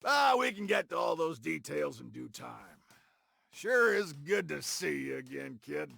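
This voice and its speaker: An actor playing a roguish, cynical army quartermaster in a game cutscene, folksy and brash, with an American frontier drawl and a gravelly rasp. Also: male voice, a little high, very animated, a little slow, pushed and bright, dry recording, heavily acted. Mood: sly, chummy. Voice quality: gravelly, raspy. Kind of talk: video game cutscene acting.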